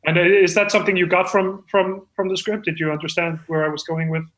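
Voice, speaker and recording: A man's voice: sits high, steady tone, a bit bright, reverberant. A man playing an earnest, self-important amateur screenwriter, hesitant and rambling, in improvised comedy chat. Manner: hesitant, rambling, full of pauses.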